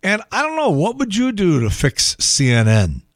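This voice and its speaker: A man with an American accent and a gravelly, thinning voice, hosting a podcast in a wry, colloquial, sometimes profane solo monologue. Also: sits high, huge pitch swings, moderate pace, no room sound, clean studio sound. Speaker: podcast host. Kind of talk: casual solo monologue on news and sport.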